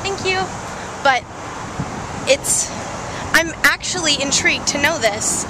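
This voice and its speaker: A young woman chatting casually and loudly in a vlog, excitable and joking. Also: sits high, slow, noisy background. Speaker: vlogger.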